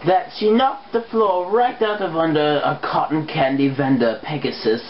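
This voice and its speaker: A woman's voice: sits low, moderate pace. A woman reading fan fiction aloud as an amateur narrator. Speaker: reader. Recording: muffled, noisy home recording.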